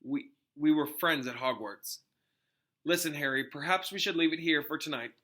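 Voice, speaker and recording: A man voicing an adult wizard teacher who is caring, guarded and firm, with a measured mentoring manner, in a storybook reading. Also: on the high side, pushed and bright. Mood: hesitant, gentle.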